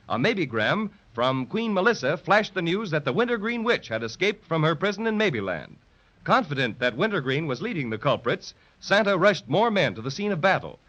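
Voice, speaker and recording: Animated male old-time radio narrator. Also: a little high. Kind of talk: 1930s radio serial narration, scripted. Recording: muffled, dull 1930s radio recording.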